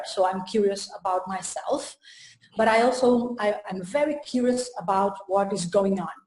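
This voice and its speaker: Slow, hesitant woman from Portugal. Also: medium pitch, darkish tone. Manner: slow and hesitant, many fillers and pauses. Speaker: trainer and coach. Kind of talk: casual interview talk. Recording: echoey room.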